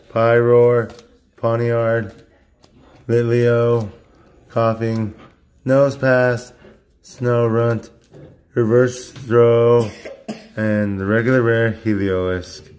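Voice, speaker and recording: A man's voice: medium pitch, flat delivery, slow pace, pauses often, a little mellow. A man in his thirties who is losing his voice from illness, talking casually with many fillers and restarts while he opens cards at home. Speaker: card-opening channel host. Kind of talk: casual unboxing talk. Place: home room at a table.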